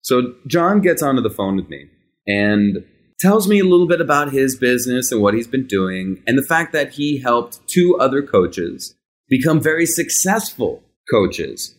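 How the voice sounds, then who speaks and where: A man's voice: mid-range voice, big pitch swings. A man in his thirties whose first language is Hebrew, hosting a podcast and telling a personal story in a candid, conversational way. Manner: candid, conversational, tempo shifts between rushed and drawn out. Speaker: podcast host.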